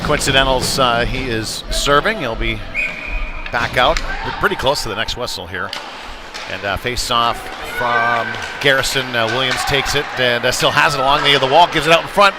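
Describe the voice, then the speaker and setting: A man's voice: medium pitch, moderate pace, loud music behind the voice. A man doing casual, conversational live play-by-play commentary of a youth hockey game from a rink, on a headset. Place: chilly ice rink.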